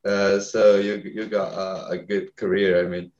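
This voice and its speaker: A man's voice: sits low, little pitch movement, a little slow, darkish tone, reverberant, highs cut off. A man from Italy with an Italian accent hosting a podcast interview in English, hesitant and searching for words. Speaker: podcast host.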